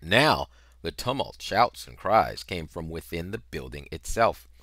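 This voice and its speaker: A middle-aged man narrating a novel in an expressive, dramatic style, with emphatic, deliberate phrasing on a headset mic. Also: low voice, no room sound, clean studio sound. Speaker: reader of a children's novel.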